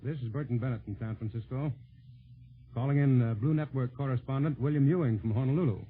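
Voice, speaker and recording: A man's voice: mid-range voice, fast talker. A man working as a radio announcer, handing over during a live 1940s broadcast. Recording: tinny, faintly noisy 1940s radio.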